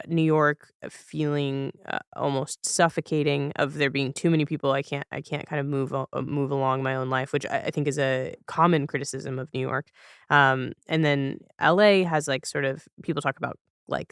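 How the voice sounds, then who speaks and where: A man hosting a casual podcast conversation, speaking thoughtfully and haltingly as he rephrases. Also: medium pitch, a bit bright, smooth, no echo, clean studio sound. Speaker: podcast host.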